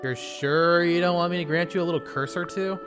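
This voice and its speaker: An offbeat, cheerful young dark mage, voiced by a man, chipper and a little strange. The voice sits slightly raised above ordinary talk. Game music plays behind him. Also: a little high, fairly quick, voice pushed up, close to an everyday voice.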